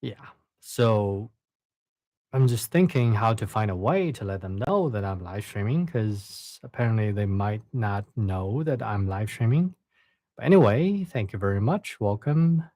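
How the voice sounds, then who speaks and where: A young man with a Chinese accent chats casually on a live stream, soft-spoken and a little hesitant, often doubling back on his words. His voice sits on the low side. The sound is dry and close, with no room echo. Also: expressive, measured pace. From China. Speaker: live streamer reading stories.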